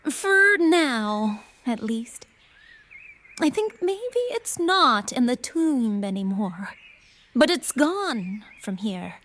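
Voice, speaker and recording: A woman acts out a young fantasy heroine, earnest and gentle. Her voice is fairly high and she speaks extremely slowly, soothing and deliberate, as in an audio drama. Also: very animated, full character voice. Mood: gently reassuring.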